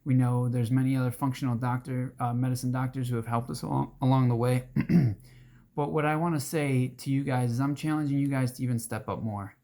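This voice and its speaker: Hoarse man giving an earnest talk. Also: medium pitch, fairly even intonation, fairly quick, dark tone. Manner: earnest, rambling with restarts and fillers. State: hoarse, throat-clearing. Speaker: advocacy group organizer. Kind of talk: casual direct-to-camera talk.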